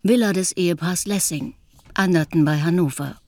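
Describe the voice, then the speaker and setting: A woman's voice: on the low side, normal speed, warm tone, dry recording, close to an everyday voice. A woman announcing scenes and titles for a radio play in a terse, factual, scripted manner.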